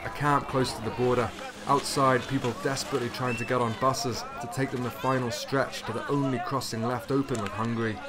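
A man reporting from a refugee camp near a border, narrating and interviewing in a measured, serious tone. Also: medium pitch, level tone, a loud crowd.

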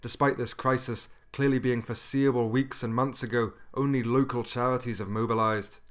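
A man reporting from a refugee camp near a border, narrating and interviewing in a measured, serious tone. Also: mid-range voice, steady tone.

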